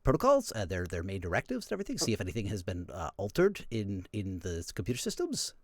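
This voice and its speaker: A man's voice: on the low side, wildly swooping pitch, fast talker, no room sound, exaggerated voice. Man playing a stammering, officious starship boss. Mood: flustered, earnest. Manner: stammering, hesitant, wordy and formal. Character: Brett, flustered, officious starship boss, rambles nervously.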